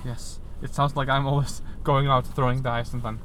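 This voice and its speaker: A man's voice: medium pitch, little pitch movement, fairly quick, a bit bright. A man co-hosting a casual podcast from inside a car, playful and giggly. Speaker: podcast co-host. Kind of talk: casual chat. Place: inside a car during a storm at night. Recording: faint background noise in a car.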